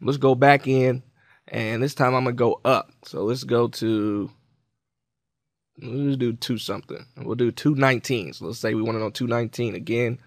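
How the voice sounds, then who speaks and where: A man presenting a software tutorial in an informal, conversational way, explaining things step by step. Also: medium pitch, steady tone, normal speed, no room sound, studio quality. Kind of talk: casual software tutorial walkthrough.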